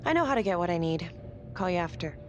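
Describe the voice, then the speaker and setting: A tough female investigator in a cinematic game. She is blunt and sceptical in a lowish voice, over faint music. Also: very animated, fast. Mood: brisk, wry. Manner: blunt, sardonic. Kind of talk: cinematic video game acting.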